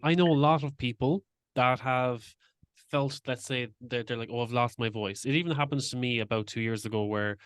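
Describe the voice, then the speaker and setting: A male podcast host with a smooth, clear voice, recorded close and dry. He talks openly and personally, sharing his own experiences at length. His pitch sits in the middle range. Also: normal speed, fairly bright tone, dry recording. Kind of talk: casual interview talk.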